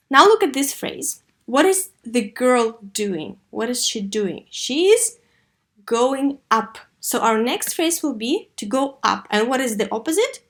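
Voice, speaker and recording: High-voiced woman teaching children English. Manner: didactic, asks questions and answers them herself; slow, deliberate enunciation of key words. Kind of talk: scripted online lesson addressed to young learners.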